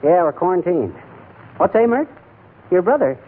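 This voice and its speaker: A man's voice: sits high, very animated, normal speed, breathy edge, exaggerated voice. A man playing a blustering, gabby small-town husband in a folksy 1940s radio comedy. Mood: chatty. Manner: folksy, blustering, stumbles over words. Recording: tinny, noisy 1940s radio recording.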